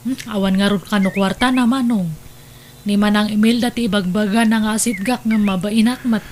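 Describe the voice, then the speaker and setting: A woman's voice: medium pitch, steady tone, fairly quick, darkish tone, slight background noise, close to an everyday voice. A woman playing a resentful, needy younger sister with a grudging manner in a Filipino radio drama. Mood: complaining. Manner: sullen, grudging. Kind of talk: Ilocano radio drama acting.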